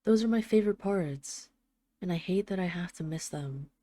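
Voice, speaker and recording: A woman's voice: lowish voice, dark tone, no echo, studio quality, natural voice. A woman playing a tough but tender, jealous mafia boss, speaking intimately to an absent partner in a scripted audio roleplay voicemail. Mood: fond, affectionate. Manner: intimate, halting, sweary, addressing an absent lover.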